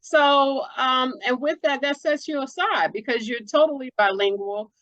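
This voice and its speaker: A woman's voice: sits high, normal speed, fairly bright tone, highs cut off. A woman hosting a casual interview, asking questions in a playful, informal way. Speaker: interviewer, host.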